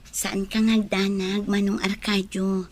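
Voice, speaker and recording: A woman's voice: medium pitch, flat delivery, takes it slowly, a little mellow. A woman with an Ilocano accent playing a kind, trusting lady of the house in an audio drama. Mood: calm, reassuring.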